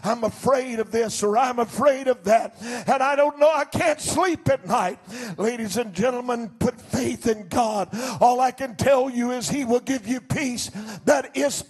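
An older man preaching a sermon as pastor from a church stage into a handheld mic, swinging between shouted declarations and low, quiet passages. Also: a little slow, slightly rough. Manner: fervent preaching, rising to shouts then dropping low.

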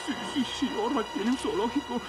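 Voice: medium pitch, a little fast, close to an everyday voice. A performer voicing a small, cheeky, indignant winged forest creature in a Latin American Spanish cartoon dub. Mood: dismayed. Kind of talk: Spanish-dubbed cartoon voice acting. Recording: loud music behind the voice.

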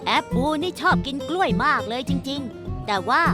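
A woman's voice: high-pitched, animated, normal speed. A woman voicing a cheerful, kind robot doctor bus in a children's cartoon dub. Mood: gentle. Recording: loud music under the voice.

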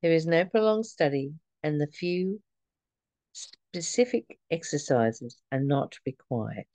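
Woman w a lowish voice reading spiritual stuff really slow, reverent, dry close sound. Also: expressive, warm tone, no echo. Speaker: spiritual study reader. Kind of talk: reading aloud from a spiritual book with informal commentary.

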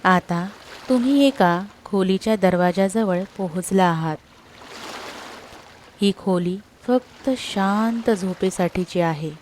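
A woman speaks very slowly in a mid-pitched voice, guiding a sleep meditation. Her delivery is soothing and scripted, with short phrases separated by long pauses. A faint layer of trickling water ambience runs behind her. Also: darkish tone, slight background noise. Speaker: guided meditation narrator.

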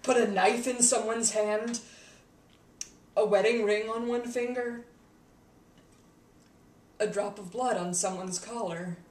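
A woman playing a haunted, embittered young wizard turned killer in a dramatic monologue, intense and halting, swinging between hush and outcry. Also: fairly low pitch, natural voice. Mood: bitter, agitated. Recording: echoey room, distant mic, faint noise.

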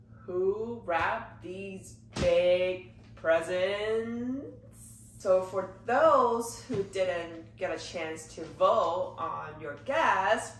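A congested woman recovering from a cold, chatting casually to her viewers in a filler-heavy way while seated in her living room. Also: on the low side. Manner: chatty, frequent "like" and "you know" fillers. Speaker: luxury unboxing vlogger. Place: living room, seated behind gift boxes. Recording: echoey room, slightly distant mic.

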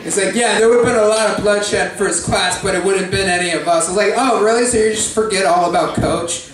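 A man's voice: high voice, fast. A man doing profane stand-up comedy on a pub stage, ranting and laughing at his own jokes. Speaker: stand-up comedian and guest host. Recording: echoey pub, distant, crowd noise.

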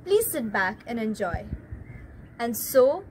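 A woman's voice: a little high, very animated, slow, a little mellow, slight background noise, rough recording. A young woman with an Indian English accent gives a prepared introduction as presenter, recorded outdoors. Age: young adult.

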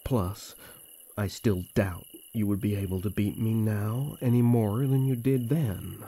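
A man playing a teasing, slow-talking elf prince. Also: on the low side, fairly even intonation, dark tone. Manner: teasing, cajoling, courtly. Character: playful, teasing young male elf prince, mischievous and cocky. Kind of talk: fantasy audio roleplay acting.

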